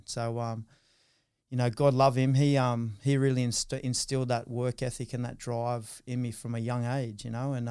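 An Australian man in his mid-thirties talks through his story as a podcast guest. He rambles, restarting sentences and filling gaps with ums and you-knows. His voice is smooth and clear, at a middling pitch, recorded dry and close. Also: a little mellow, no room sound. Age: 30s. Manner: rambling, many fillers and restarts. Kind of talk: casual interview.

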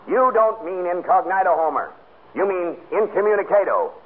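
A man playing a gruff, slangy police chief questioning a suspect in a 1940s radio comedy. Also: sits high, expressive, moderate pace. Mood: exasperated. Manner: tough-guy interrogator, slangy. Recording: tinny, noisy vintage radio recording.

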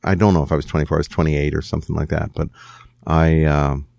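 A man's voice: extremely low pitch, level tone, quick pace, gravelly, a little mellow, dry recording, studio quality. A man in his sixties, a songwriter guest musing candidly in an interview, thinking aloud as he goes. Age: 60s. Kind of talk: reflective interview conversation.

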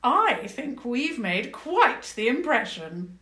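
A regal goblin queen from a storybook, cold and smug, drawling in big loud swoops. A woman acts her out at a slow pace. The room is echoey. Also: a little high, sing-song, voice pushed up, heavily acted. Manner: slow, grand and mocking.

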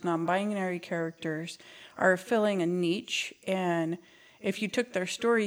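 A woman hosting an interview, asking questions and responding in an affirming, conversational way. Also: on the low side. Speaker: interviewer host. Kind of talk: casual interview.

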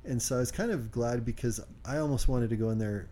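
A man co-hosting a casual podcast, speaking quietly and hesitantly with lots of fillers. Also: mid-range voice, fast, slight background noise. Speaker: podcast co-host.

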